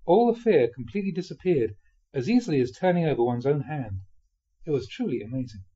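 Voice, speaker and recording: Male reader for spiritual memoir audiobook: fairly high-pitched, soft mellow tone, measured delivery with dramatic pauses, slightly dull recording. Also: animated, slightly rough. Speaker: reader of Buddhist teachings.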